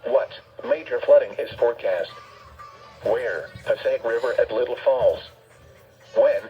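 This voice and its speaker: A synthetic male announcer reading a scripted emergency weather bulletin in a flat, formal way through a weather radio speaker. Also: medium pitch, measured pace, faint music behind. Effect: played through weather radio speaker. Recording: dull radio speaker, faint background noise.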